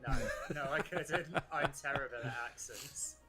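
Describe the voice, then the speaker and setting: A man's voice: fairly high pitch, moderate pace, loud music behind the voice. A man chatting casually as a player in a tabletop game. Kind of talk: casual chat.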